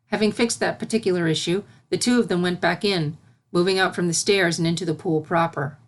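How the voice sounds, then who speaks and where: Low-pitched woman narrating a story. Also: steady tone, fairly quick.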